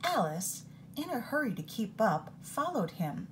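A woman reading a picture book aloud in an expressive storytime manner, recorded on a phone held over the book. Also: fairly high pitch, unhurried, a little hiss or hum, rough recording.

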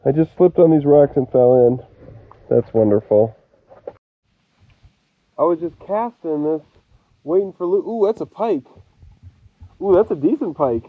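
A man talking casually on a wilderness canoe trip, cheerful and excitable, recorded outdoors on a camera mic. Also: a little high, a little slow, pauses often, no room sound, phone-quality sound. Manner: excited, laughing, calls to the dog. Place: outdoors on a lake shore.

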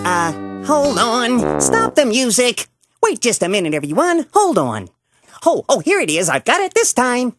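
A woman's voice: fairly high pitch, big pitch swings, unhurried, no room sound, clean studio sound, faint music behind, full character voice. A woman voicing a lazy, flustered young frog puppet in a children's show, hesitant and forgetful. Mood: muddled.

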